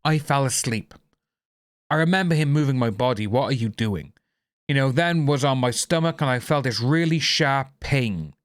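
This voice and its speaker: Welsh male commentator, mid-pitched voice, calm, measured read of trial testimony with brief asides, close dry sound. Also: no echo. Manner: steady, low-key reading with apologetic asides. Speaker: YouTube commentator.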